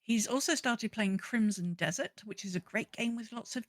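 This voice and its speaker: A woman's voice: a little high, moderate pace, dry recording, clean studio sound. A woman co-hosting a podcast, chatting casually and informally, with emphatic repetition. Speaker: podcast co-host. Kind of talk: casual gaming podcast chat.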